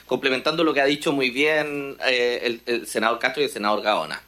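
A middle-aged man with a Chilean accent giving formal health-policy remarks, measured and hesitant, with frequent fillers. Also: mid-range voice, sharp tone. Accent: Chilean Spanish. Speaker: health official speaking at a session.

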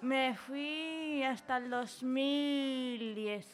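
Slow-spoken shy young woman. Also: sits high, steady tone, faint music behind. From Spain. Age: 20s. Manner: brief, shy answers. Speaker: radio guest. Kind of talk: casual radio interview.

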